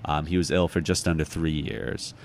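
A man's voice: rumbling low, little pitch movement, moderate pace. An American man speaking reflectively as an interview guest, thoughtful and searching for his words. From United States, Chicago.

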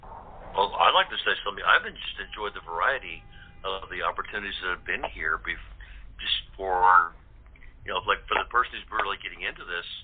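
A man's voice: low voice, animated, fairly quick, husky. A man speaking as a guest in a casual chat, with an enthusiastic, appreciative way of talking. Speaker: radio-play cast member, guest. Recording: tinny telephone line.

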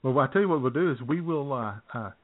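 A man's voice: medium pitch, expressive, quick pace. A man co-hosting a radio talk show, speaking in a rambling, easygoing way. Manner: rambling and easygoing, with many fillers and restarts. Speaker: co-host. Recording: narrow phone-like sound.

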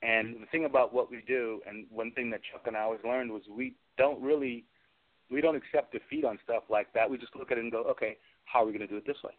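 A man's voice: medium pitch, steady tone, fast talker. A man talking casually as a special effects artist being interviewed. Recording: tinny phone line.

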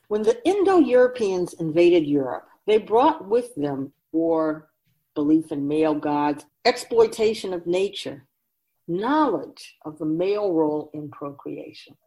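Low, sweeping-pitched wise keeper of souls played by a woman. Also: warm tone, low-quality recording. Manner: oracular, emphatic, instructive, rhetorical questions. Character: wise, emphatic otherworldly keeper of souls, teaching humanity. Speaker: character in a radio drama. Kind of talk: radio drama dialogue, lecturing.

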